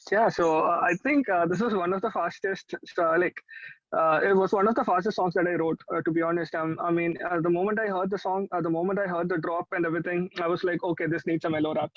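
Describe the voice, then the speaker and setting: A high voice for a man, close and dry with a slightly dull sound. He is a young adult with an Indian accent, talking casually in an interview. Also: fairly even intonation, quick pace, dry recording. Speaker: musician, interview guest. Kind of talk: casual chat. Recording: dry but slightly muffled audio.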